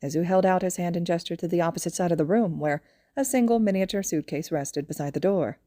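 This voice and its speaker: A woman's voice: fairly low pitch, fast, dark tone, no room sound, clean studio sound. A woman narrating a scripted fan fiction audio story.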